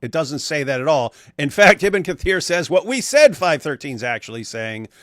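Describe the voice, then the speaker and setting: A man's voice: fairly high pitch, very animated, moderate pace, a bit bright. A man with a somewhat raised voice lays out his case point by point, pressing hard on key phrases. The sound is dry, with no room echo around him. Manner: emphatic, builds arguments step by step. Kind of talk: casual debate discussion.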